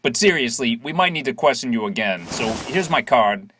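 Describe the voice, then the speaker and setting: An actor playing a brisk police sergeant with an insistent, official manner in an audio drama. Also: male voice, medium pitch, lively intonation, fairly bright tone, slight background noise, close to an everyday voice. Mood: placating. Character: brisk male police sergeant questioning witnesses.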